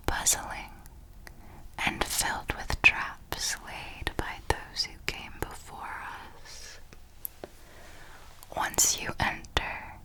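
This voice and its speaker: A woman playing an elegant vampire mentor in a whispered ASMR roleplay, formal and deliberate, possessive, teasingly menacing and protective, with a breathy delivery. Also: pushed and bright, poor audio. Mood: solemn, hushed. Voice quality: breathy, mostly unvoiced whisper. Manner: slow, deliberate, formal, with measured pauses.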